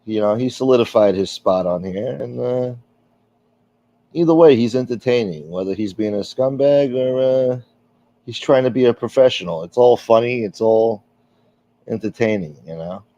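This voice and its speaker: A man's voice: medium pitch, normal speed, dark tone. A middle-aged man hosting a livestream, chatting casually and reading viewer comments, rambling and candid with frequent fillers and swearing. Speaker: livestream host. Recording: dull, lo-fi stream audio.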